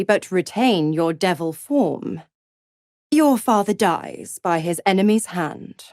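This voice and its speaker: A cruel, mockingly seductive devil patroness from a video game. She takes her time over each taunt, letting the pitch curl and swoop with theatrical condescension. The sound is close and dry, with no room around it. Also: a little mellow, no echo. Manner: taunting, drawling, condescending, purring endearments like "pet".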